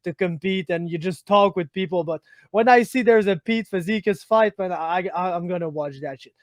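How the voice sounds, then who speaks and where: A man's voice: sits high, normal speed, no echo, studio quality. A man from Quebec with a Quebec French accent, hosting a casual interview, chatty and friendly. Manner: friendly, chatty, says "my man", rambling questions. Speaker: interviewer, host.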